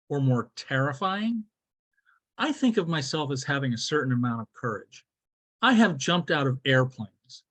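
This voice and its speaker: A man's voice: on the high side, husky. A man delivering a rehearsed humorous speech as a storyteller, theatrical and mock-serious, with emphatic delivery. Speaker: club speech contestant.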